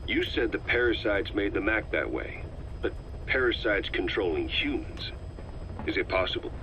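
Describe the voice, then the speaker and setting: Very deep inquisitive commander, dull taped sound with faint hiss. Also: male voice, big pitch swings, normal speed, bright, forceful tone. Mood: questioning. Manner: curious, probing questions. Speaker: commander questioning scientist. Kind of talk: game audio log acting. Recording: dull noisy cassette tape.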